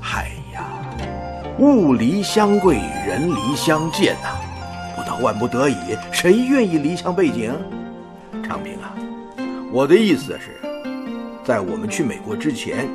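A man's voice: medium pitch, very animated, measured pace. An actor playing a kindly, worldly older father in a radio drama, with a folksy, avuncular manner. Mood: coaxing. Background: music.

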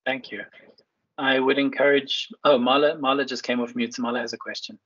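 A man with a husky voice moderating and talking conversationally in an online meeting. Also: medium pitch, fairly even intonation, fairly quick, a bit bright. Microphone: video call. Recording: dull online call audio.

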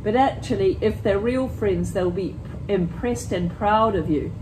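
A middle-aged woman teacher reading a picture book aloud to children, with deliberate, expressive phrasing, talking directly to her young listeners. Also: mid-range voice, noisy background. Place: empty school classroom, indoors.

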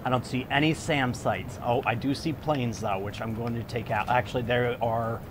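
A man giving light, excitable commentary while thinking aloud through a video game playthrough. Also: medium pitch, normal speed, noisy background. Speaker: gamer doing a blind playthrough.

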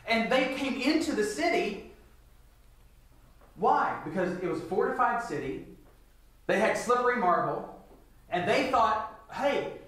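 A man's voice: sits high, fairly bright tone. A middle-aged man giving a Bible lecture to a congregation, posing rhetorical questions and answering them himself. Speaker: church lecturer. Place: large church meeting room, indoors. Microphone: picked up from a distance. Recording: echoey hall, distant microphone.

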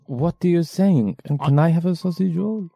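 A man's voice: on the high side, moderate pace, vocal fry, dark tone, soft background music. A man playing a timid stammering young woman. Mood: puzzled. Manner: hesitant, stammering.

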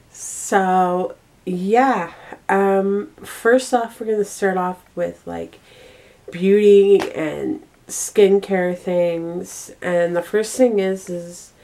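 Slow-paced young woman with a cold. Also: lowish voice, darkish tone. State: congested with a cold. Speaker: vlogger. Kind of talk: casual monthly favorites vlog. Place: small bedroom, indoors.

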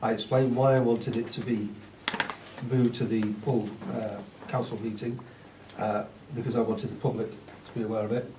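Halting male councillor, far and echoey. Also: lowish voice, little pitch movement, background noise. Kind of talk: speaking at a meeting. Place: council meeting in a hall. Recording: echoey hall, distant mic.

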